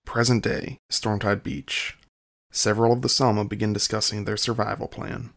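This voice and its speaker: Scene narrator, male: lowish, creaky voice, scripted announcements. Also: steady tone, moderate pace, a bit bright.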